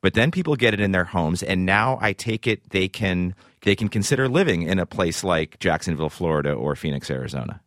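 An American man hosts a radio interview in a deep voice. He presents in clear broadcast phrasing, and the sound is dry, with no room around it. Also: lively intonation, brisk, hardly any pauses, a bit bright, dry recording. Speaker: radio show host and interviewer.

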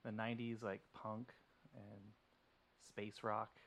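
A man from Denton, Texas, a podcast guest, talking casually and haltingly, full of "like" and "um". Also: lowish voice, level tone, gravelly, a bit bright, a little hiss or hum. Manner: halting, lots of "like" and "um".

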